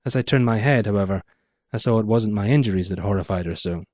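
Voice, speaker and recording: A man reading his own first-person noir story as scripted narration, in a measured, dramatic storytelling manner. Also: lowish voice, darkish tone, no room sound.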